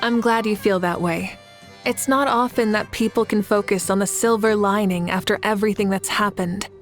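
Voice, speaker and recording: A woman playing a composed, confident young female leader in a video game, speaking in a calm, assured, measured way. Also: medium pitch, soft background music, natural voice. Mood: appreciative. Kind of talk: game dialogue acting.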